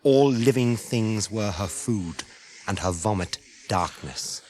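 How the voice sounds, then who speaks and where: An English actor as a grave storyteller, delivering portentous scripted narration of an epic fantasy for 1980s radio. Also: male voice, lowish voice, lively intonation, takes it slowly, noisy background. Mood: grave, ominous.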